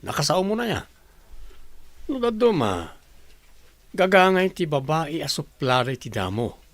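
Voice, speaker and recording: A cheeky young man in a radio play, the teasing pal. His voice is fairly high for a man, with a gravelly creak. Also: sing-song, measured pace, fairly bright tone, dry recording, heavily acted. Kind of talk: radio drama acting.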